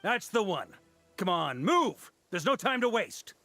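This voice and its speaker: An actor playing a seasoned, easygoing knight commander in a video game, self-assured and casual with a wry streak. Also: male voice, sits high, big pitch swings, measured pace, bright, forceful tone, faint music behind, exaggerated voice. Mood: urgent, commanding.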